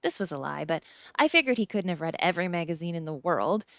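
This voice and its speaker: Low-pitched woman narrating a novel. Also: lively intonation, fast, fairly bright tone, no echo. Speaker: audiobook narrator. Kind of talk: scripted first-person novel narration. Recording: slightly dull, dry close recording.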